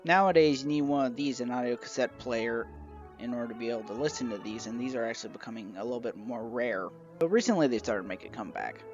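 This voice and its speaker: A man's voice: mid-range voice, fast, highs cut off. Young man narrating over music. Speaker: collector reviewing a radio show collection. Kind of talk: scripted show-and-tell narration.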